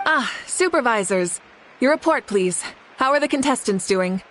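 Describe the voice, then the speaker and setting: A woman playing an authoritative tournament host in a video game, formal and measured. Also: a little high, lively intonation, a bit bright, low-quality recording. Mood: commanding. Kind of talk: video game character dialogue. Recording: faint ambient noise behind.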